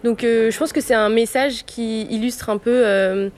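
Young woman, halting earnest answers. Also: fairly high pitch, steady tone. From France. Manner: earnest, many hesitant fillers. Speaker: civil service student. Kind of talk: interview answers.

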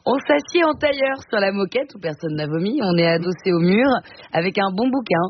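A woman delivering a chatty, wry radio culture column with quick asides, on a dull radio broadcast with hiss. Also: mid-range voice, expressive.

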